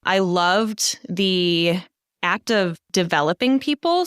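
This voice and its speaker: A woman's voice: fairly low pitch, a bit bright, clear, resonant tone, no room sound, clean studio sound. A woman in her thirties, a guest leadership coach telling her career story in a chatty podcast interview, laughing now and then. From United States. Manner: chatty, laughs often, says "so" a lot.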